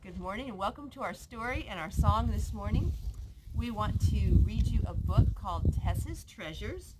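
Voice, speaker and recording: A woman's voice: mid-range voice, lively intonation, moderate pace. A woman reading a picture book aloud expressively to a young child in a noisy recording with clicks.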